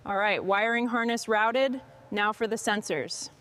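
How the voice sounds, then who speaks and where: A woman's voice: medium pitch, measured pace, fairly bright tone, a little hiss or hum. A woman working as a mechanic, giving short hands-on commentary on her work as she goes. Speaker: mechanic narrating restoration work.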